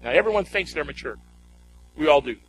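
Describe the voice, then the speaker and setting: A man's voice: fairly high pitch, lively intonation, brisk, a bit bright, a little hiss or hum, rough recording. A man preaching a sermon as a pastor, emphatic and exhorting, repeating phrases and putting rhetorical questions to his congregation.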